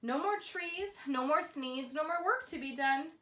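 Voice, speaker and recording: A woman with a high voice reads a children's storybook aloud. She is expressive, leaning dramatically into the rhymes. The room adds noticeable echo. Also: unhurried, slight background noise. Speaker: storybook reader.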